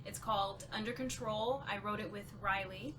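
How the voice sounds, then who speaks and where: A woman's voice: medium pitch, fairly even intonation, moderate pace. A woman talking playfully and informally on a group podcast. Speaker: actor and writer on a podcast panel. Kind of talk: casual group chat. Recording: clearly noisy background.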